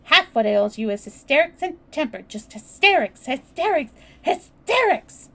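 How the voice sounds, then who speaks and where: A woman voicing a hot-tempered, commanding little girl in a storybook, scolding and shouting emphatically. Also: high voice, very animated, moderate pace, vocal fry, voice pushed up, exaggerated voice. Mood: fierce, scolding.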